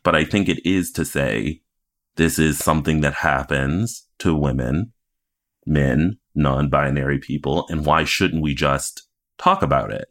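A man's voice: very deep voice, measured pace, a bit bright. A man co-hosting a podcast in a casual, conversational recap style, acting out imagined reactions as he goes. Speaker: podcast co-host.

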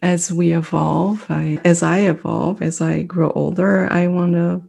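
Woman, native French speaker, middle-aged, low flat voice, husky and gravelly, mellow tone, slow reflective speech. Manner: thoughtful, searching for words, soft pauses. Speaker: mindfulness meditation teacher, guest. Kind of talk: reflective conversational interview.

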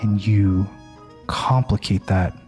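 A man's voice: lowish voice, fairly even intonation, darkish tone, faint music behind. An actor in an audio drama playing a cold, condescending crime-family rival who mocks and draws out his contempt. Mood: menacing disdain. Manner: mocking, drawn-out, contemptuous.

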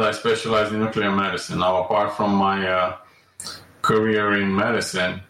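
A man from Romania, a podcast guest speaking slowly with frequent ums and uhs. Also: on the low side, a bit bright. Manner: measured and slow, many ums and uhs. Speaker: guest, alternative medicine practitioner. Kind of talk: podcast interview. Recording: echoey room, distant mic.

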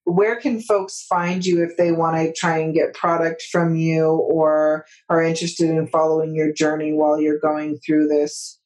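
A woman's voice: sits low, flat delivery, unhurried, few pauses, darkish tone, low-quality recording. A woman hosting a podcast interview, effusive and thankful toward her guest. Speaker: podcast host.

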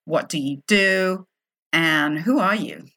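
A woman's voice: deep voice, slow pace, bright, forceful tone, dry recording. A woman hosting a podcast, reading an upbeat scripted intro and then interviewing a guest with enthusiasm. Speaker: podcast host. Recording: music bed under voice.

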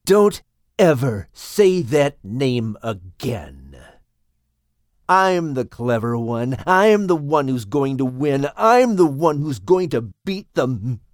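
A man voicing a menacing, boastful king cobra villain in a dramatized children's audiobook, with a gloating, taunting, drawn-out delivery. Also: medium pitch, big pitch swings, dry recording, clean studio sound. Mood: furious, threatening.

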